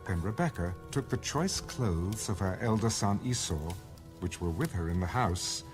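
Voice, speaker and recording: Low, animated male Bible narrator. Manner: measured, storytelling. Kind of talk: scripted audio Bible narration. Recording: background music under the voice.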